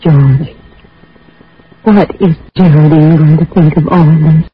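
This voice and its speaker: A woman's voice: sits low, steady tone, takes it slowly. A woman playing a devoted, composed, coaxing sweetheart in a 1940s radio drama. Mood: tender, coaxing. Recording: muffled old radio recording with music.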